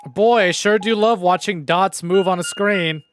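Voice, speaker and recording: Playful higher-voiced man streaming a game. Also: unhurried, fairly bright tone, a little hiss or hum. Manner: playful, joking, theatrical when reading. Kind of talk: live visual novel playthrough, reading dialogue aloud and commenting.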